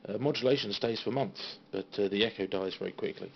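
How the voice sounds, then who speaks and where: Gravelly low-voiced British man. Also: a little fast. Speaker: CB radio hobbyist giving a tour of equipment. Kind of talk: casual informal show-and-tell. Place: small radio room at home. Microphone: handheld phone. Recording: dull phone recording with faint noise.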